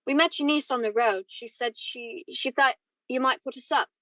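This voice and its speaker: A woman playing a wistful married man who recalls his youth in measured, reflective radio drama narration. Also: high-pitched, bright, forceful tone, no echo, low-quality recording. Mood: polite. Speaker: student actor.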